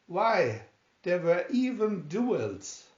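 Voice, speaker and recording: Guy doing a airy posh young spanish gentleman for a storybook, higher voice, kinda muffled recording. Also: very animated, extremely slow, echoey room, full character voice. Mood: affected disdain. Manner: airy, affected, refined. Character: Don Diego, airy young Spanish nobleman feigning foppish timidity. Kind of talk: storybook character reading.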